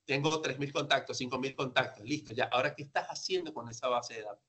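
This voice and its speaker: A man's voice: mid-range voice, quick pace, pushed and bright. A man working as a sales trainer, presenting informally and instructively, emphatic and conversational, often asking and answering his own questions. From grew up outside Peru, now lives there.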